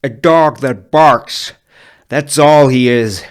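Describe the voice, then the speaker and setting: A man's voice: medium pitch, slow pace, clean tone, voice pushed up, lightly acted. A man voicing a bitter, defiant saloon matron with slurred words in a Western script reading. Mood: scornful, rallying. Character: defiant battered saloon matron, rousing her townsfolk.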